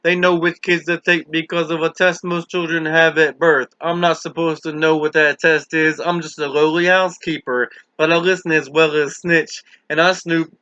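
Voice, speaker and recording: Audiobook role: a man reading as a lowly housekeeper, earnest and confiding, bright forceful tone, slightly high pitch. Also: level tone, normal speed, few pauses, no echo, dull audio. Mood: earnest, explaining. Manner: explanatory, confiding. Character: Maureen, lowly woman housekeeper confessing secrets, earnest and plainspoken.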